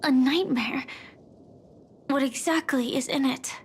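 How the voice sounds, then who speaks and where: An actress playing a skeptical, serious young heroine in an anime-style game, speaking in a measured, wary, dry way. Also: female voice, high-pitched. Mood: questioning, suspicious. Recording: prominent music behind the voice. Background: game soundtrack music.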